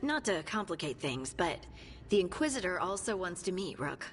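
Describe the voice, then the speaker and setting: A serious female adventurer in a fantasy game drama. Her voice is a little on the low side. There is faint ambience behind her. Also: sing-song, normal speed, a little hiss or hum.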